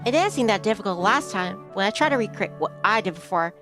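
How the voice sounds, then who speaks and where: Female playthrough streamer, average pitch; story narration plus offhand asides, background music. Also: expressive, fast. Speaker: streamer reading a visual novel aloud. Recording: music behind the voice.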